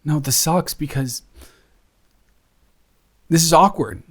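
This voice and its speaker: A man playing a teasing, affectionate young roommate who gets easily flustered, speaking haltingly and conversationally in an intimate improvised audio roleplay. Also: a little high. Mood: earnest, exasperated. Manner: halting, conversational, self-correcting.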